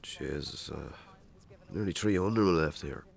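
An actor playing a hungover, defensive Irish farmer in a naturalistic radio drama. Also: male voice, very deep voice, expressive, unhurried, vocal fry, full character voice. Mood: worried, groggy. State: hungover, groaning.

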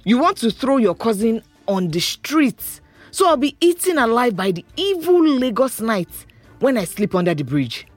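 A woman's voice: fairly high pitch, big pitch swings, moderate pace, dry recording, faint music behind. An actress playing a cheeky, melodramatic young Nigerian woman in an audio drama, wheedling and mock-dramatic.

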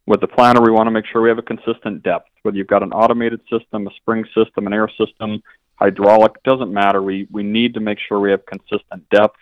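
A man's voice: lowish voice, dry recording. A man from an equipment dealership, explaining things as a radio guest and often restarting his sentences. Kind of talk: interview answers. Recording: dull, lo-fi line.

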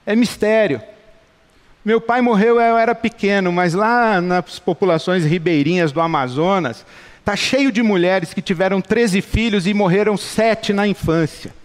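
Impassioned Brazilian pastor, high voice. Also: male voice, unhurried. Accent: Brazilian Portuguese. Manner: passionate, rhetorical repetition. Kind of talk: sermon. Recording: long hall reverb.

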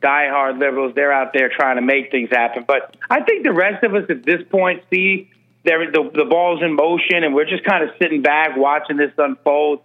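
A man's voice: on the high side, steady tone, moderate pace. A man chatting casually and comedically as a radio show guest, wry and joking, over a dull lo-fi connection. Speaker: guest, comic actor.

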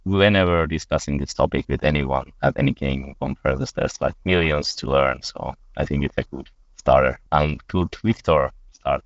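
A man's voice: rumbling low, normal speed, no room sound. A man from Finland, a marketing officer, talking in a podcast panel with lots of hesitant fillers. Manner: hesitant, filler-heavy explaining. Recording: dull dry lo-fi sound.

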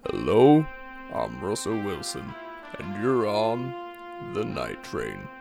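An actor playing an eerie, deadpan late-night paranormal radio host with a dramatic delivery. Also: male voice, fairly low pitch, gravelly, heavily acted.

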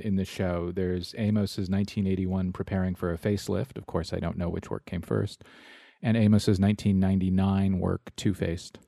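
Low, gravelly male interviewer. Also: dark tone, no echo, clean studio sound. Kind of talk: conversational art interview.

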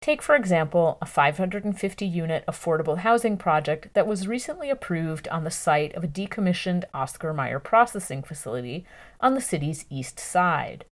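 Woman, slightly low pitch, lively melodic inflection, measured scripted article reading, clear enunciation. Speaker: narrator reading a news article.

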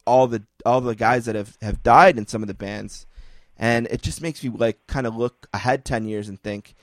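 A man's voice: medium pitch, fairly even intonation, no room sound. A man hosting a podcast, chatting casually and joking at his own expense. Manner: chatty, self-deprecating, quick asides. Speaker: podcast host. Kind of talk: casual chat.

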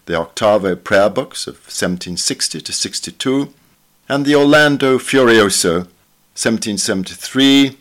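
Low-pitched man reading formally. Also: a bit bright. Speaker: reader. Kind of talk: scripted article narration, read aloud.

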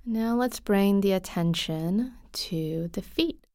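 Slow, soft-spoken woman guiding meditation. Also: medium pitch, dry recording, studio quality. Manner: soft, calm, unhurried, with frequent pauses. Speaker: guided meditation teacher. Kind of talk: guided body scan meditation.

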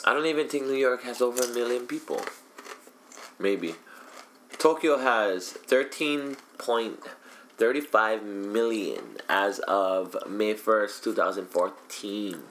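A man's voice: on the low side, takes it slowly, a bit bright, a little hiss or hum. A young man in casual chat with a friend, swearing freely as he tells a story.

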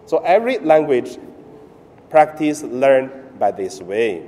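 Priest, male, Chinese accent, slow halting homily delivery, reverberant church sound. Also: medium pitch, sing-song, warm tone. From mainland China. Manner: halting, broken English with pauses and fillers. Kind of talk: Sunday homily.

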